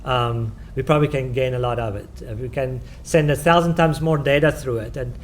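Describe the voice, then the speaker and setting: A man's voice: mid-range voice, steady tone, fairly quick, slight background noise. A man with a South African accent answering audience questions at a tech talk, hesitant, with many "um"s and restarts. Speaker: conference presenter answering questions. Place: conference room.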